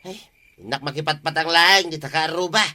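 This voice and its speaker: A man acts out a sheepish small-time village thief in a comedy radio drama. The voice is very bright and sharp, slightly high for a man, with an Ilocano accent and stammered, exclaiming excuses. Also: fairly quick. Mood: nosy, bantering. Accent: Filipino, Ilocano.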